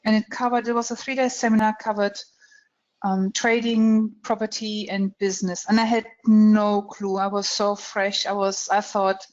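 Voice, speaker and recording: A woman's voice: medium pitch, level tone, moderate pace, lo-fi sound. A middle-aged woman from Germany with a German accent, telling her story frankly as an interview guest, with many ums and easy laughs.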